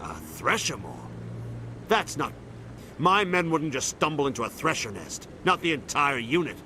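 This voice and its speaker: A man's voice: a little high, very animated, normal speed. A man playing an earnest, troubled admiral in a science fiction video game, speaking formally and with weight. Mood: disbelieving. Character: earnest, troubled male navy admiral of space opera. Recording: clear background ambience noise.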